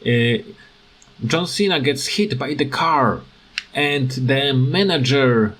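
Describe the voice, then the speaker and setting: A man giving casual, unscripted commentary on a video game, hesitant and halting as he searches for words and often stammers. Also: medium pitch, lively intonation, a bit bright. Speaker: gaming video creator.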